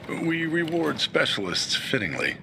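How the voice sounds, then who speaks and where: A man's voice: on the high side, very animated, measured pace, vocal fry, bright, forceful tone, slight background noise, full character voice. A male actor playing a pedantic, formal military technician in a video game cutscene. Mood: matter-of-fact. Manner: precise, pedantic, formal wording. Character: precise, formal military technician, an adult man.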